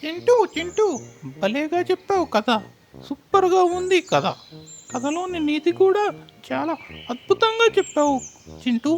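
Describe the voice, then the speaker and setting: A woman's voice: very high voice, very animated, unhurried, long pauses, a little mellow. A woman narrating a story for children as a storyteller.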